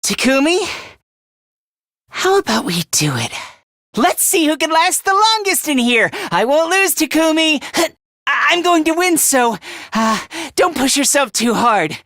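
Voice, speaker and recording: A man voicing a timid, self-deprecating yet excitable teenage boy in a video game, with a hesitant, stammering delivery and theatrical anime-style acting. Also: very high voice, unhurried, dry recording, studio quality, heavily acted. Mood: competitive, strained. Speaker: voice actor.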